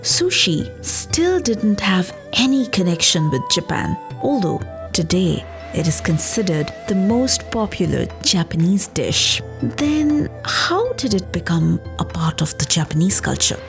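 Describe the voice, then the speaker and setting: A woman narrating a scripted story as a podcast host, in a measured, expressive explanatory way. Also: on the low side, creaky. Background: background music.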